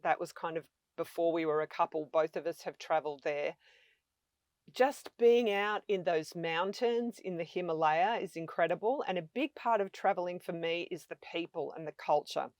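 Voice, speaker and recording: An Australian woman in her fifties tells her stories in an eager, conversational way. Her voice sits on the low side, and the recording is dry and close. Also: moderate pace, darkish tone, no echo. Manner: chatty, enthusiastic storyteller. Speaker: travel vlogger guest. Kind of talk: casual conversation.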